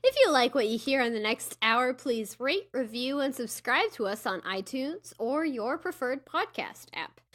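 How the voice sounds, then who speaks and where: Woman on a dnd podcast, kinda high voice, chatty n really into it. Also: animated, normal speed, hardly any pauses, a bit bright. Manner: enthusiastic, emphatic. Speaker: podcast host and player in a tabletop game. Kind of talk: casual banter on a tabletop game podcast.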